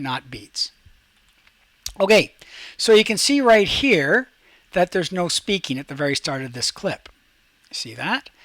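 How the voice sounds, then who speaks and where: A man hosting a software tutorial as a teacher, talking through each step aloud in an instructional way. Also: on the high side, dry recording.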